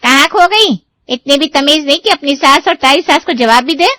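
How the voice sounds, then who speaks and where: A woman voicing bossy, scolding elderly in-law women in a cartoon story, nagging with drawn-out, emphatic exclamations. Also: high voice, pushed and bright, dry recording, pitched above the performer's own voice. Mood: outraged, scolding. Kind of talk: Hindi cartoon moral story voice acting.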